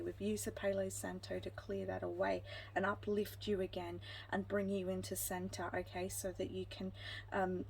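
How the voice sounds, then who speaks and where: A woman reads tarot for her viewers in a gentle, reassuring way, her pitch sitting in the middle for a woman. She wanders through her thoughts with many ums and restarts. A faint hiss of background noise sits under her voice. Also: vocal fry, low-quality recording. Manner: meandering, frequent ums and restarts. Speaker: tarot card reader. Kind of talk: intuitive pick-a-card reading.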